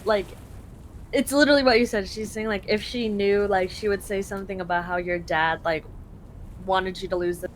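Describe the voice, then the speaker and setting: A woman's voice: medium pitch, fairly even intonation, normal speed, slight background noise, rough recording. A young woman from Canada translating a game live for a friend, chatty and full of fillers.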